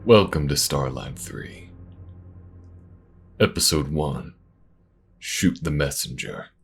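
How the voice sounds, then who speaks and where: A man's voice: rumbling low, slow pace, husky. A man delivering scripted, dramatic narration to introduce a science fiction audio story.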